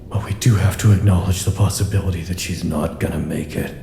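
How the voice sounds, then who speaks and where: A man acting in an audio drama as an anguished, guilt-ridden worker at a doomed secret facility, halting and earnest. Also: low voice, fairly even intonation, gravelly, reverberant, background noise. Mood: awkward, gentle.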